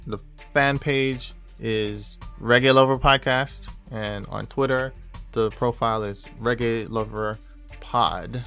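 A man hosting a podcast, upbeat and promotional, giving listener shout-outs. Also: medium pitch, fairly even intonation, slow pace, vocal fry, soft background music. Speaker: podcast host. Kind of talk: casual podcast talk.

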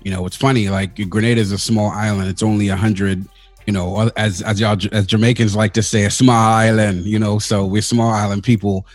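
A man with a fairly low voice tells stories in an easy, laid-back way, dropping casual slang as he goes. The recording is dry and close, with faint music underneath. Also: a little fast, no room sound. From Washington DC area, United States. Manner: relaxed storytelling, uses slang like "yo" and "big up". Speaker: podcast guest. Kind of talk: casual conversational interview.

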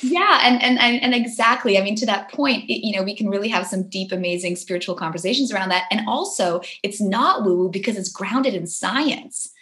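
A young adult woman from Poland, a herbalist and green witch, talking reflectively as an interview guest, soft-spoken and often restarting her phrases. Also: mid-range voice, fairly quick, few pauses.

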